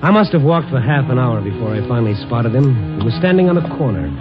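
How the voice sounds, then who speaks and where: Male actor: worldly, kindly American reporter-narrator, mid pitch, vintage radio drama, muffled with music. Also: lively intonation, fast talker, lightly acted. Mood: somber, reflective. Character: worldly, protective newspaperman narrating his own story, kindly. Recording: dull old radio recording with music.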